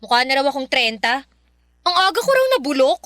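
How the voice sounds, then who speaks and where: Radio drama teen: Filipina, very high voice, whiny, quirky, quick to get annoyed. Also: female voice, sing-song, fairly bright tone, no room sound, clean studio sound. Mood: reluctant, complaining. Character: teenage Filipina debutante, quirky, easily annoyed, stubborn.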